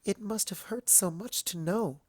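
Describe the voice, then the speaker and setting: A shy female pegasus pony, voiced by a narrator, high and quiet. She speaks gently and hesitantly, as if afraid to be noticed. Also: normal speed, no room sound, studio quality, natural voice. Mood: timid sympathy. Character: timid, soft-spoken female pegasus pony.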